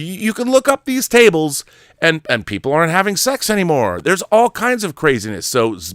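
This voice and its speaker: A man's voice: a little high, fairly bright tone, clean tone, dry recording, studio quality. A middle-aged American man talking casually and opinionatedly, stammering and repeating words, often punching out emphatic rhetorical questions. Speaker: talk show host. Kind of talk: casual opinionated monologue about films and society.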